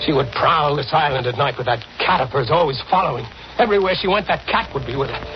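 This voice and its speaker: Man acting a terrified husband, higher-pitched, melodramatic. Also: lightly acted. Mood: desperate. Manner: fearful, halting, melodramatic. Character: terrified, haunted husband, nervous and fatalistic. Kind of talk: 1940s radio drama acting. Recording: muffled, hissy old radio recording.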